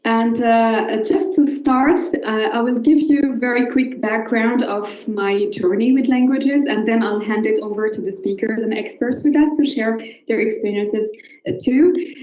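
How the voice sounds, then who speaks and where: A woman's voice: a little high, a little slow, darkish tone, roomy sound, rough recording. A woman from Morocco, whose first language is Moroccan Arabic, moderating a live online panel with frequent hesitant fillers over a video call. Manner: hesitant, many filler uhs.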